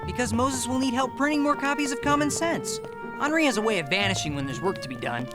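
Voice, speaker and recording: Woman doing confident cartoon teen boy, brisk n eager, bouncy delivery, loud music under it. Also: fairly high pitch, lively intonation, lightly acted. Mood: assured, hopeful. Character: confident, eager teenage boy, colonial apprentice reporter. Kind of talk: animated series acting.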